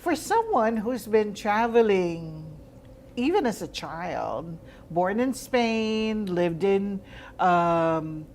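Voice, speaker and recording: A mid-pitched woman from the Philippines hosts a casual interview. She reacts often and asks chatty questions. Faint background noise sits under her voice. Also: big pitch swings, slow. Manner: warm reactions, frequent wows. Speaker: show host.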